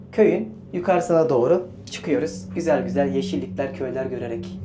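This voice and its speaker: A man's voice: on the high side, warm tone. Chatty male travel vlogger, faint background noise. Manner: chatty, informal, joking. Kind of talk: casual travel vlog narration to viewers.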